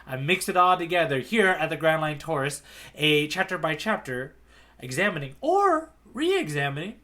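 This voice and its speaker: A man co-hosting a casual podcast as its guide, bantering in a playful, animated hype-man manner. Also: on the high side, normal speed. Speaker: podcast co-host, tour guide. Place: remote online podcast recording.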